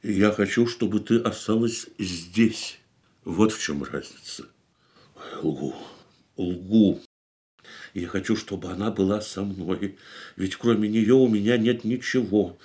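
A man's voice: on the low side, slow, warm tone. An actor in a radio play playing a wounded, bitter man who pleads with his departing lover, brooding and reproachful. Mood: despairing. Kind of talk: Russian radio play acting.

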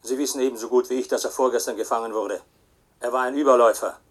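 A man's voice: medium pitch, fairly even intonation, quick pace, lightly acted. An actor playing a stern, defensive supervisor in a radio play, curt and dismissive in his replies.

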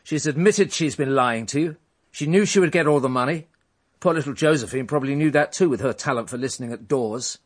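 An actor playing a world-weary, fatherly police inspector in a radio drama, a middle-aged man with a husky voice and a measured, probing manner. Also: medium pitch, natural voice. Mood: pressing, blunt.

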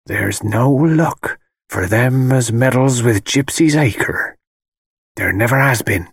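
Un hombre haciendo de vieja adivina agorera, voz aguda. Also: male voice, a little high, big pitch swings, normal speed, no room sound, studio quality, voice pushed up. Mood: sharp warning. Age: older. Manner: rustic dialect speech, ominous and insistent. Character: malicious, wheedling old fortune-telling woman with dark warnings. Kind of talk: dramatised audiobook character voice.

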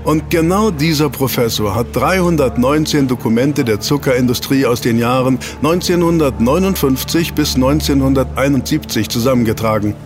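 A man's voice: mid-range voice, lightly acted. A man playing an eager researcher in a German audio drama, explaining to his team and teasing out reveals with rhetorical questions.

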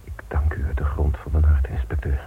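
An actor playing a stern, controlling village magnate in a radio drama, with a formal, measured way of talking. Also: male voice, rumbling low, monotone, breathy edge, heavily acted. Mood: grateful, formal. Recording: muffled, noisy old radio recording.

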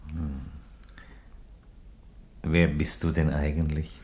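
A Roman emperor in a radio drama, his voice extremely deep. He reasons calmly and philosophically, with dry irony and polite, measured phrasing. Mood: dry courtesy. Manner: measured, philosophical, drily courteous. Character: calm, ironic, reasonable Roman emperor who loves chickens. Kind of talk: theatrical radio play dialogue.

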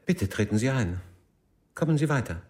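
An actor playing a middle-aged jilted husband, desperate and brooding, speaking haltingly and solemnly in a radio drama. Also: male voice, medium pitch, big pitch swings, darkish tone, husky. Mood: grimly calm.

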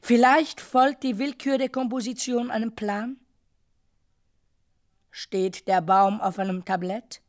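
An actress playing a narrator who recites dark, surreal literary radio drama in a measured, declamatory way, as if questioning what is described. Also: female voice, fairly high pitch.

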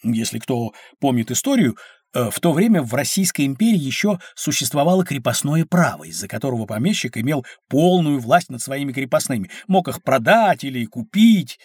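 An actor playing a patient, learned storyteller who explains things expressively in an educational children's audio drama. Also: male voice, mid-range voice, very animated, a little fast, a little mellow, slightly rough, no room sound, clean studio sound. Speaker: narrator-teacher.

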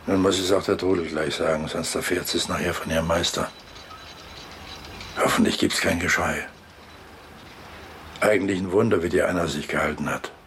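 A man's voice: sits low, steady tone, moderate pace, gravelly, long pauses, fairly bright tone, a little hiss or hum. An actor playing a taciturn, dutiful middle-aged foreman and husband in a radio drama, terse and plain-spoken. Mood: brooding.

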